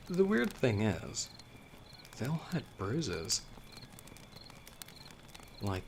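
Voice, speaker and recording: A man playing a young newcomer telling eerie local legends by a campfire in a horror audio roleplay, conversational and teasing with dramatic pauses. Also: on the low side, noisy background. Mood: hushed intrigue. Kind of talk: casual spoken storytelling in horror audio roleplay.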